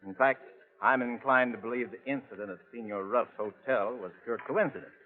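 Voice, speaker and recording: An actor playing a polite, reassuring doctor in an old-time radio drama. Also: male voice, medium pitch, a little fast, narrow, tinny audio, lightly acted. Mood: courteous. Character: courteous, reassuring male doctor. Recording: thin, band-limited old radio recording.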